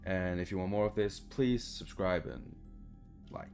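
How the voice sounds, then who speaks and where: A man's voice: sits low, unhurried, a little mellow, faint music behind. A young man giving casual, sweary gameplay commentary in an informal way. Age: young adult. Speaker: gaming video creator. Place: small bedroom, indoors.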